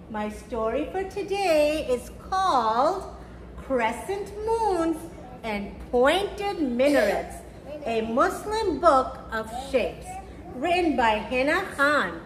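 A woman reading aloud and teaching at children's storytime, speaking simply and encouragingly to young children. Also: sits high. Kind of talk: read-aloud of a picture book for children. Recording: roomy echo with faint background noise.

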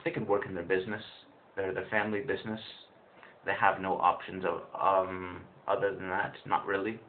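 A man's voice: sits low, flat delivery, normal speed, slight background noise. Rambling man on tinny webcam audio. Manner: rambling, many fillers and restarts. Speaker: vlogger, English teacher in China. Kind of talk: casual webcam vlog. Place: small room indoors. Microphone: webcam built-in mic, close.

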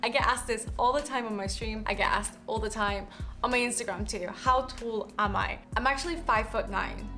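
Chatty young woman with a softened Yorkshire accent. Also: medium pitch. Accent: mixed Yorkshire English. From Yorkshire, England. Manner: chatty, candid, softens to a quiet tone on personal topics. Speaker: streamer and content creator. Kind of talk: casual Q&A vlog to camera. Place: living room, indoors, seated on a sofa.

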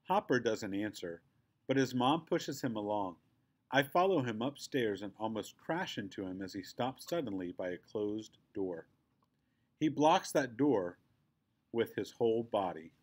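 A man reading a children's story aloud in an animated, expressive storytelling style. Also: on the low side, measured pace. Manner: animated, acts out the dialogue.

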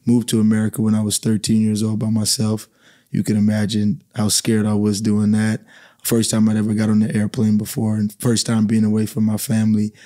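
Calm, mellow-voiced man from the Bahamas. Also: on the low side, a little fast. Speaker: basketball coach, podcast guest. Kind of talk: podcast conversation. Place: small podcast studio. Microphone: studio mic on boom arm.

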